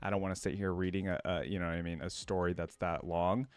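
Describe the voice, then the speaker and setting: A man's voice: sits low, little pitch movement, quick pace, smooth, dry recording. A man hosting a reaction video, commenting casually on game voice lines and musing aloud with frequent questions.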